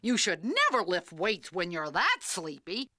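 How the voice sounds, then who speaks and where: A woman's voice: on the low side, wildly swooping pitch, unhurried, very noisy. A woman voicing a bossy, strict, mean cartoon authority figure in an officious, scolding, mock-sweet manner. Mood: brisk, bossy. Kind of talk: animated cartoon voice acting.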